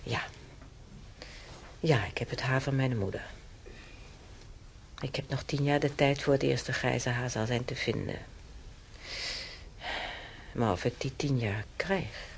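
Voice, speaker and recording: A woman's voice: rumbling low, slow, pauses often, heavily acted. An actress playing a chatty, wistful hospital patient in her seventies in a radio drama, rambling and homely as she reminisces. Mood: fond, proud. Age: 70s. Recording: noisy old radio play recording.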